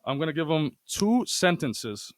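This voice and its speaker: Playful male podcast host, higher voice. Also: big pitch swings, a little slow, no echo. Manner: playful, profane. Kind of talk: casual comedic podcast banter.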